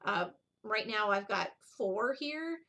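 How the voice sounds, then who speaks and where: A middle-aged woman hosts a casual craft vlog in a mid-pitched voice. She talks in a rambling, informal way, showing things as she goes and wandering into side remarks. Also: animated, takes it slowly, pushed and bright. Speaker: needlework video host. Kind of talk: casual chat, show-and-tell vlog.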